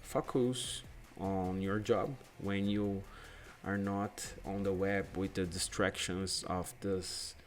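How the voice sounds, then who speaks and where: A young man from Brazil with a Brazilian Portuguese accent, hosting a screen-share software tutorial in non-native English and often restarting his phrases. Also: sits low, level tone, slow pace, soft background music. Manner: hesitant, repeats and restarts phrases. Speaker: UX/UI designer and channel host.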